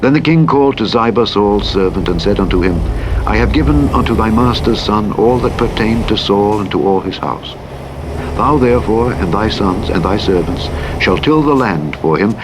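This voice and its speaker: A man reads scripture solemnly as a narrator, in a measured, formal delivery. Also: lowish voice. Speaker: Bible audiobook narrator. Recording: clear engine and vehicle noise. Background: truck simulator game driving sounds.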